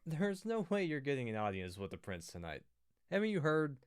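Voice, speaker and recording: A slightly high male voice from an actor as a palace guard in an audio drama. He is curt, dismissive and impatient, with a very animated pitch. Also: fairly quick, clear, resonant tone.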